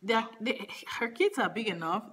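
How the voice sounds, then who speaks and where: A woman prank-calling a relative, talking in a calm, matter-of-fact way with hesitant restarts. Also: mid-range voice, normal speed, slightly rough. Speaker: prank caller. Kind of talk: casual phone chat.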